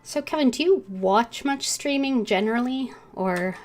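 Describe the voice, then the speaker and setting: A woman livestreaming a game, chatting casually and thinking aloud in a halting way. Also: a little high, animated, soft background music. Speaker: game streamer.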